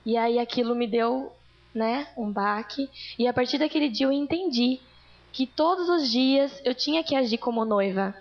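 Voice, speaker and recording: A woman speaks to a church congregation in a fairly high, gravelly voice. She tells her testimony earnestly, like a story. The recording sounds dull and lo-fi. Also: normal speed. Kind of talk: testimony sermon.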